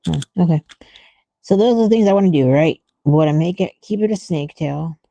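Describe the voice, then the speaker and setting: A woman's voice: low voice, a little fast, vocal fry, warm tone, no echo. A woman thinking aloud in casual livestream art commentary, musing and often trailing off mid-thought. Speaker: artist streamer thinking aloud while drawing.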